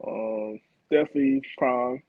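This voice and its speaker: A young man from Florida, a college football player, answering interview questions in a relaxed way. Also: medium pitch, a little slow, no echo, narrow, tinny audio. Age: young adult. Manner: relaxed, laid-back, many fillers like "like" and "man".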